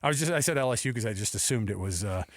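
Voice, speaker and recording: A man's voice: lowish voice, animated, racing. A man hosting a sports radio show in casual talk, often acting out imagined quotes.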